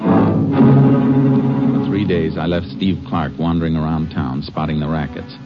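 A dull vintage radio recording with music behind carries a low American male voice. a steady, determined Texas Ranger investigator, terse and plain-spoken, in 1950s radio drama style. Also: takes it slowly. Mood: matter-of-fact narration. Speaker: lead actor and narrator.

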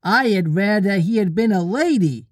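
A storybook reader voicing excitable little boys who interrupt eagerly with childlike exclamations and questions. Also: medium pitch, normal speed, dry recording, clean studio sound, voice pushed up, natural voice. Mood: eager, questioning.

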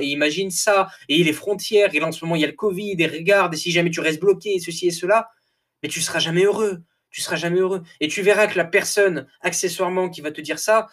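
A man talks straight to his podcast listeners in a loose, animated monologue, with a slightly raised voice. He laughs often, stumbles over words and restarts them, and sounds a little tired. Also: a little high. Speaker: podcast host. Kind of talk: casual motivational podcast monologue.

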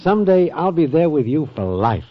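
A man's voice: on the high side, big pitch swings, moderate pace. A man playing a scheming small-time hustler boyfriend with cocky, streetwise patter in a muffled 1940s radio sitcom. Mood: affectionate. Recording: muffled old radio with faint noise.

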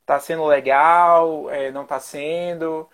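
A man's voice: fairly high pitch, steady tone, takes it slowly, a bit bright. A chatty male interviewer keeps the talk loose and friendly. He strings his thoughts together with frequent tag questions to his guest. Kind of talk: casual interview chat.

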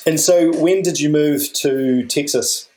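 A man's voice: on the high side, measured pace, a little mellow, slight background noise. A man hosting an interview podcast, enthusiastic, often repeating words as he asks his questions. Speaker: podcast host.

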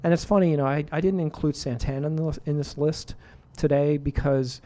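Mellow-voiced middle-aged man hosting radio. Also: on the high side, a little fast, slight background noise. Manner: relaxed, rambling, full of fillers and restarts. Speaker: radio show host. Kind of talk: casual radio DJ chat between songs.

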